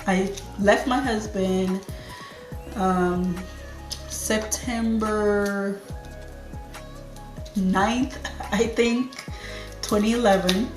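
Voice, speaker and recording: A woman's voice: medium pitch, fairly even intonation. Woman, 30s, Jamaican accent, chatty vlogger, leisurely delivery, roomy sound with faint music. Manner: chatty, unhurried, laughs easily. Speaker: lifestyle YouTuber. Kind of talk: casual get-ready-with-me Q&A.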